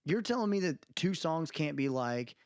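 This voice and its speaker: A man's voice: on the high side, normal speed, no room sound, studio quality. A man chatting casually as a podcast co-host, arguing his point in informal, slangy language. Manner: animated, argumentative, informal slangy talk.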